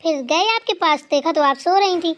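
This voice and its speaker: A woman's voice: very high-pitched, moderate pace, a bit bright, lo-fi sound. A woman voicing a dutiful, anxious young daughter-in-law who talks eagerly and pleads. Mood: earnest.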